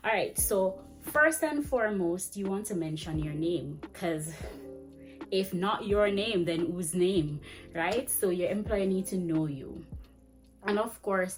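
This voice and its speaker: A young woman giving chatty, fast-paced teaching advice to camera in a small indoor room. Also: fairly low pitch. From Jamaica. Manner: chatty, fast-talking, animated with hand gestures. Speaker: vlogger and ESL teacher. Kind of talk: casual advice vlog. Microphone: camera framing head to chest.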